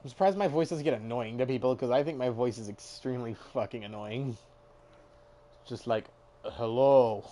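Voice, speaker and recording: A man's voice: mid-range voice, normal speed, warm tone. A young man streaming a game, chatting casually, swearing and mocking himself, now and then singing along. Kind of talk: casual gameplay chat.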